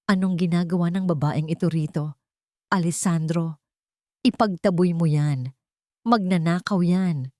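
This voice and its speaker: A woman's voice: fairly low pitch, unhurried, long pauses, darkish tone, no room sound, lightly acted. A domineering aunt in a family melodrama, put on by a woman narrator. She is hostile and imperious, throwing accusations and orders in a dry, close recording. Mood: scornful.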